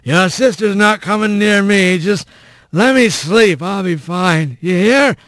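A man voicing a gruff, sardonic bobcat who is groggy and slurs his drawn-out words. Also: high-pitched, a bit bright, no room sound, voice pushed up, full character voice. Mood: groggy, irritable. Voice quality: slurred. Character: groggy, gruff male bobcat, snarly tough fighter.

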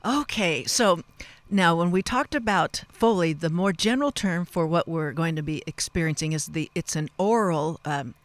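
A man's voice: fairly high pitch, moderate pace, dry recording. A man interviewing conversationally as a radio host, with roundabout, searching phrasing.

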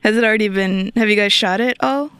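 A woman hosts a relaxed radio interview and keeps breaking into giggles. Her voice is smooth and resonant, recorded dry and close with no room around it. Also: mid-range voice, fast, dry recording. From not from the country of the show. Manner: giggly, laughs often. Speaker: radio show host. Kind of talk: casual interview.

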